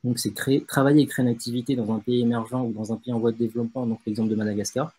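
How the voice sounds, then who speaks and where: A young man hosting and moderating an online panel, introducing the guests in a halting way with frequent fillers. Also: medium pitch, little pitch movement, warm tone, breathy edge, low-quality recording. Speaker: host, moderator.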